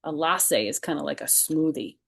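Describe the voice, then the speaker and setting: A woman's voice: sits low, a little fast, creaky, husky. A woman hosting a children's storytime, reading a picture book aloud expressively and adding chatty, informal explanations.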